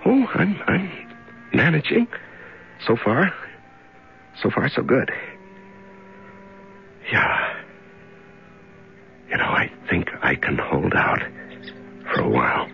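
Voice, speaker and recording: Halting, creaky-voiced frightened prisoner. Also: male voice, medium pitch, huge pitch swings, slight background noise, full character voice. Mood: tender, calmer. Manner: halting, murmuring self-talk, breathy outbursts. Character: frightened male prisoner in a dark room, fighting panic. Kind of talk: 1970s radio drama acting, soliloquy. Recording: dull 1970s radio recording.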